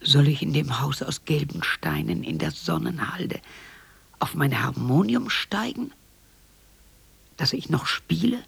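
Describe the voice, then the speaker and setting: An actor playing a broken, bitter old man in a radio drama monologue, hoarse and halting, often breaking into whispers. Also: on the high side, lively intonation, a bit bright, dry recording, exaggerated voice. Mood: bleak, wondering.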